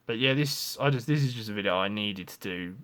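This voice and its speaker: A man's voice: fairly low pitch, a little fast, a bit bright. A man, talking frankly and ramblingly in a confessional vlog, with lots of restarts and swearing. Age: 20s. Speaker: vlogger.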